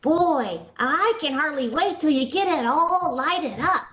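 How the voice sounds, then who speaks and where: A woman's voice: high voice, lively intonation, a little slow, fairly bright tone. A woman playing an eager, inquisitive little neighborhood girl who gushes in childlike slang, in old-time radio comedy style. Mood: awed, admiring. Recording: roomy online call recording.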